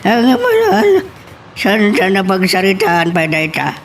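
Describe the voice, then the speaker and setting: Slow, high-voiced old grandfather acted by a man. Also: wildly swooping pitch, far from the mic, slight background noise, full character voice. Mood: concerned. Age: older. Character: slow-talking elderly grandfather, fond of his grandchild. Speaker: actor in an audio drama. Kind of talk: Ilocano radio-style drama acting.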